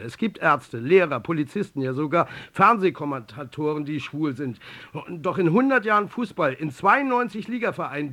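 A man's voice: fairly high pitch, fairly bright tone. An actor playing a wry, defiant male football coach in a radio drama, with a dry, conversational delivery. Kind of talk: German radio drama acting.

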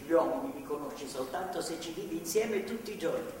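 A woman's voice: sits low, lively intonation, quick pace, a little mellow. Older woman, homely and feisty; coaxing, talkative stage delivery, distant in a big echoing hall. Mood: reflective. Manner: coaxing, chatty, storytelling. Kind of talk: live stage drama dialogue. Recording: distant echoey theatre hall, faint noise.

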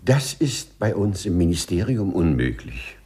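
An actor playing a timid, fussy middle-aged clerk in a 1950s radio play, polite and hesitant, with a stammer. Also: male voice, low-pitched, sing-song, a little slow, warm tone, breathy edge, exaggerated voice. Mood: bashful, earnest. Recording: 1950s studio radio recording, music behind.

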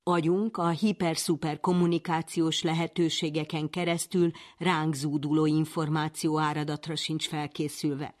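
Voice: medium pitch, flat delivery, few pauses. An announcer reading scripted narration that introduces segments of a program. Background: faint music.

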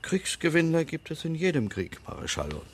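An actor playing a frail old lawyer in a radio play, guarded and sardonic, speaking in a measured, formal and pointed way. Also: male voice, on the high side, huge pitch swings, slight background noise. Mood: stern, pointed. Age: older.

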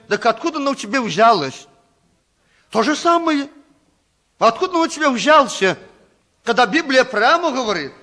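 A man's voice: sits high, bright, forceful tone. A man preaching as a pastor in a conversational sermon, with many hesitant fillers and questions put to the congregation.

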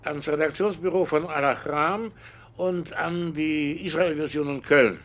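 A man's voice: a little high, measured pace, fairly bright tone. An actor playing a brusque German engineering professor who dictates a statement to his secretary. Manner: curt, dictating.

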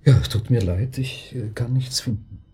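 An actor in a radio drama playing a courteous, discreet hotel receptionist with a formal manner. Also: male voice, mid-range voice, normal speed, warm tone, lightly acted.

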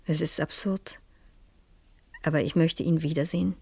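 A curious, composed madame caught up in a crime story on radio. Her voice is very low for a woman and husky. She speaks reflectively, with a wry touch. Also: fairly even intonation, normal speed, mellow, dry recording, exaggerated voice. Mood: wistful, reflective. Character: curious, composed madame narrating her own dangerous case. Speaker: radio drama actress. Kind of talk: German radio crime drama acting and narration.

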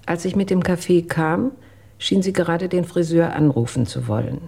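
A reserved household servant in a radio play gives measured testimony. Her voice is mellow and husky, and she chooses her words with care. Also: lowish voice, animated, exaggerated voice. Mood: measured recollection. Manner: measured, careful recounting. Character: reserved, dutiful female household employee giving testimony. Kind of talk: German radio drama acting.

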